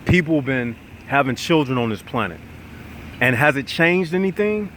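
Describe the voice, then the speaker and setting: Male vlogger, nasal from a cold, preachy rap-to-camera delivery, mid pitch, faint vehicle noise behind. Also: normal speed. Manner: preachy, animated, addresses viewers as y'all. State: nasal, getting over congestion. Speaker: channel host. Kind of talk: casual monologue to viewers.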